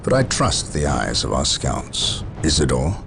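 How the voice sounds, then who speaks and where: An actor playing a stern, resolute Space Marine captain in a video game, with a gravelly, authoritative, solemn delivery. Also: male voice, extremely low pitch, big pitch swings, unhurried, over music, full character voice. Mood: stern command. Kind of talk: video game cutscene acting.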